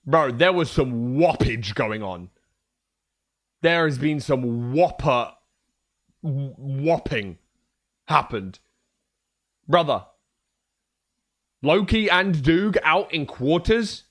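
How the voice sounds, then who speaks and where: Hoarse young man streamer. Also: mid-range voice, fairly bright tone. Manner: joking, trash-talking, swears a lot. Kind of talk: casual livestream chat.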